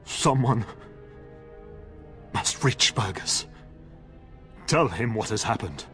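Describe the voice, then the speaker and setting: An actor playing a gravely wounded middle-aged noble father in a video game, halting and labored, loving and dutiful. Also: lowish voice, animated, bright, forceful tone, soft background music, heavily acted. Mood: pleading, weak. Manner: halting, pained, labored delivery. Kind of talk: dramatic video game acting.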